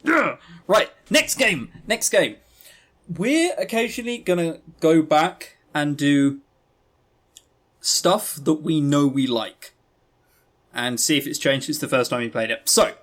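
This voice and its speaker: A man's voice: fairly high pitch, lively intonation, a little slow, pauses often, a bit bright. A man co-hosting a podcast, giving blunt, opinionated, sweary reviews in a casual chatty way. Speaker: podcast co-host.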